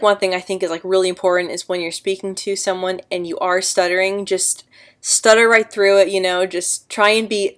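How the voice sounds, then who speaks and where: A young woman talking casually to camera about her own life. She stutters often, repeating sounds and blocking on words, and speaks in an earnest, candid way. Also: fairly low pitch. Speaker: vlogger.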